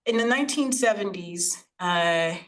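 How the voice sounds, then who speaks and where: A woman raised in the United States by Haitian parents, Haitian Creole her first language, giving a reflective talk over slides and often pausing to search for words. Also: medium pitch, expressive, a little hiss or hum. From United States, Haitian family. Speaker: guest speaker. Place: online recording, video call.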